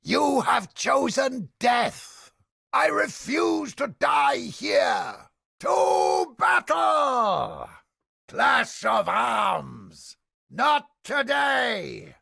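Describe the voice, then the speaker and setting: An actor playing a cynical, haughty old scholar of the mind in a video game, with a raspy, gravelly voice and a pompous, irritable manner. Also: male voice, very high-pitched, big pitch swings, extremely slow, pushed and bright, no room sound, raised voice, studio quality, exaggerated voice. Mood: alarmed, shouting. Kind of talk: dramatic video game voice acting.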